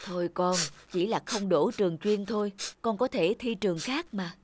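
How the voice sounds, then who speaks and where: A gentle cartoon mum, played by a woman, speaking with soothing concern. She sounds caring and a little worried. Music runs clearly behind the voice. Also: mid-range voice, moderate pace, no room sound, close to an everyday voice. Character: gentle, worried mother comforting her daughter. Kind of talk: animated cartoon dubbing.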